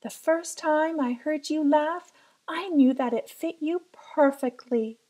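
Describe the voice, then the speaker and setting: Tender reassuring mama, read by a woman, high voice, encouraging, storybook tone. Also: slow pace, dark tone. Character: gentle, reassuring mother of a young girl.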